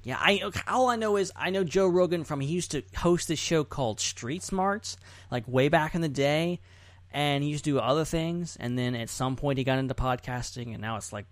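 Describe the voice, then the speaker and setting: Casual, higher-pitched man hosting a podcast. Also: brisk, no room sound. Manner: jokey, informal, rambling. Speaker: podcast host.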